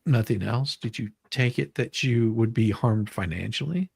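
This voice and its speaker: A person reading the part of a lawyer questioning a witness in a dramatic deposition reading, halting and repeating phrases with sympathy. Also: mid-range voice, gravelly, a little mellow, breathy edge, dry recording. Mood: probing.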